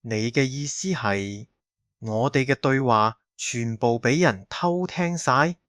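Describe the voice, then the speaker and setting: A man's voice: mid-range voice, takes it slowly, a bit bright, no echo, studio quality. A man acting a haunted, bitter fugitive in an audio drama, with a brooding delivery. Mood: troubled. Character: haunted, bitter man hiding for thirty years, resigned.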